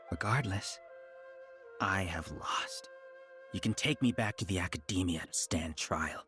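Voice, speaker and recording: An actor playing a proud, resentful scholar villain in a video game, with a husky voice and dramatic delivery. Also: male voice, low-pitched, slow pace, long pauses, music in the background. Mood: resigned. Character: proud, bitter defeated male scholar villain. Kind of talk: English video game voice acting.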